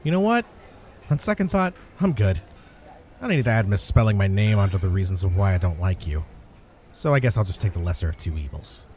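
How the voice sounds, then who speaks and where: Male actor as sarcastic do-gooder hero for comedy ASMR roleplay; deep, mellow, earnest, slight background noise. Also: animated, a little fast. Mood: wry, grudging. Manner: sarcastic, talks straight to the listener. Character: earnest, wry male superhero griping at a cafe.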